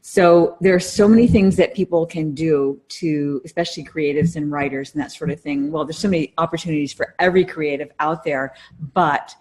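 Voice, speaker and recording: Low-voiced middle-aged woman co-hosting a podcast. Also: a little fast, darkish tone, breathy edge, low-quality recording. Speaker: podcast co-host. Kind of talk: casual conversational podcast talk.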